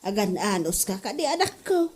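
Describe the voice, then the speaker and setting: A woman's voice: mid-range voice, big pitch swings, moderate pace, darkish tone, slightly rough. Woman playing caring, advising mother. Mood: concerned. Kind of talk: comedy audio drama acting.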